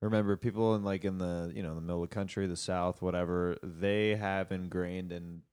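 A man's voice: low-pitched, fairly even intonation, brisk, darkish tone, smooth. A man hosting a casual chat, jokey and playful, laughing between remarks.

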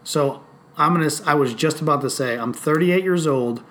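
A man in his late thirties talking casually on a podcast, animated and swearing freely as he tells stories. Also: fairly high pitch, moderate pace. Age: 30s. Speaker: podcast host.

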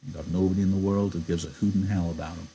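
A man voicing a weary, gruff but protective ranch hand in a novel reading, speaking in a subdued, wooden monotone. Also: low voice, racing, a little hiss or hum, poor audio. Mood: resigned.

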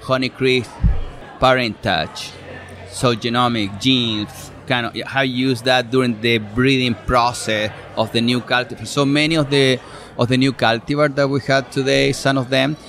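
Man, Chilean Spanish accent, native Spanish speaker, middle-aged, gravelly voice, mid pitch, patient explaining tone. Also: fairly bright tone. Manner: explanatory, some hesitant fillers and restarts. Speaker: extension fruit specialist. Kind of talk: relaxed interview answers. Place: outdoor farm show event.

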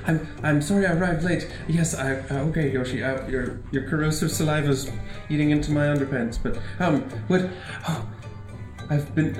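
A man's voice: on the high side, a little mellow, voice pushed down, lightly acted. A man voicing a polite, fussy, flustered servant in an improvised comedy radio play, hesitant and deferential with old-fashioned wording. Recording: roomy echo, faint music behind.

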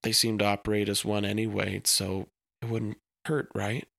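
An earnest, self-assured young girl from a storybook, voiced by a man. She is precocious and articulate. The sound is bright and dry, with some creak in the voice. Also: fairly low pitch, level tone, moderate pace, no echo. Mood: earnest, persuasive. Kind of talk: storybook character voice.

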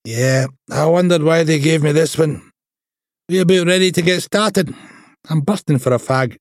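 A man voicing a gruff, middle-aged Scottish female detective inspector in an audiobook, blunt, slangy and mocking. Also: a little high, normal speed, no echo, studio quality, voice pushed up, close to an everyday voice. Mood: sardonic, impatient.

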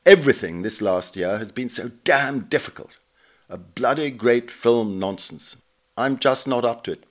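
A man voicing a weary, apologetic middle-aged gentleman writer in a self-pitying, clipped way for a narrated reading. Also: medium pitch, moderate pace, close to an everyday voice. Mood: weary, wretched.

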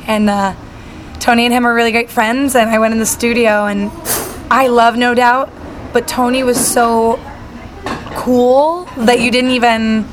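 A woman's voice: fairly high pitch, fairly even intonation, measured pace, a little hiss or hum. A young woman singer-songwriter from Salt Lake City chatting casually in an interview, bubbly and full of fillers. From Salt Lake City, Utah.

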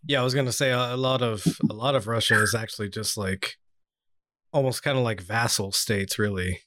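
A man hosting a podcast, asking clarifying questions and answering with short, quiet backchannels. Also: on the low side, steady tone, fairly quick. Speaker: podcast host. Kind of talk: casual podcast chat.

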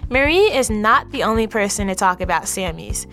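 A woman hosts a community podcast, reading scripted links in a clear, friendly voice. Soft music sits faintly beneath her. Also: mid-range voice, lively intonation, normal speed. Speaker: podcast host. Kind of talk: scripted narration.

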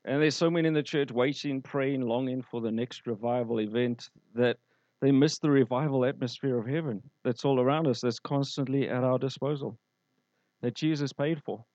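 A man's voice: medium pitch, normal speed, darkish tone, no room sound, highs cut off. A man preaching a sermon, telling stories and asking the congregation questions. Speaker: pastor.

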